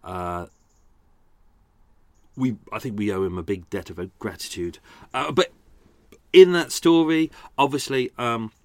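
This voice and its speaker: A man's voice: mid-range voice, unhurried, pauses often, dry recording. A man hosting a podcast, chatting casually and laughing at himself. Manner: self-deprecating, chatty, laughing often. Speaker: podcast host. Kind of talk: casual chat.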